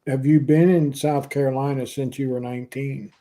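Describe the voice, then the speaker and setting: A man's voice: medium pitch, level tone, moderate pace. A man from Pennsylvania hosting a casual livestream chat, talking easily with lots of "you know" and laughter. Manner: chatty, warm laughs, many "you know" fillers. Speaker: podcast host.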